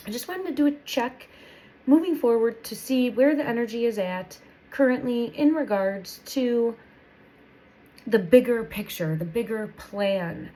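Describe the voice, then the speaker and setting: A woman's voice: mid-range voice, darkish tone, rough recording. Woman tarot reader with a head cold. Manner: thinking aloud, repeats phrases, many restarts. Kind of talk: casual spoken tarot reading.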